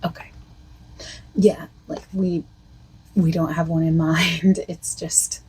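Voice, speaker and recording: A woman speaking softly and partly in whispers as a costume consultant in an ASMR roleplay, with a hesitant, filler-heavy way of talking. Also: little pitch movement, slow pace, breathy edge, low-quality recording. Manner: soft-spoken, drifting between whisper and low voice. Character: female costume consultant asking the listener questions.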